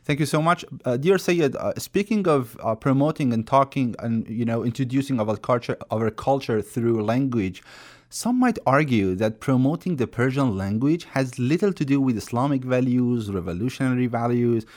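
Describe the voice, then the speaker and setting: Brief: male moderator, mid-range voice, relaxed and informal with guests. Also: mid-range voice, moderate pace. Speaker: host. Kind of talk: moderating a panel discussion.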